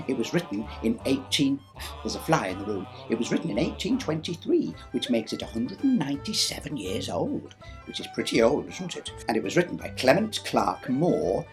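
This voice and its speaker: A man playing a cheeky old pantomime dame, reading a Christmas poem theatrically with playful, chatty delivery. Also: medium pitch. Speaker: storyteller.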